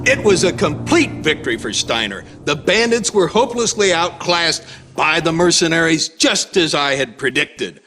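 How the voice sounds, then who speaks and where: A man's voice: on the high side, animated, moderate pace, hardly any pauses, bright, forceful tone, soft background music. An actor playing a confident, opinionated male television pundit in a game, assertive, smug and dismissive.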